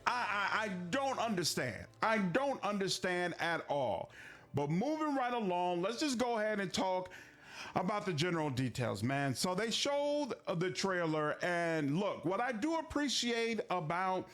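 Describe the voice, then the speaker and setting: Indignant high-voiced man ranting. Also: very animated, a little slow, fairly bright tone, soft background music. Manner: indignant, emphatic repeating. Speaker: gaming commentator in a played clip. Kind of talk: video commentary.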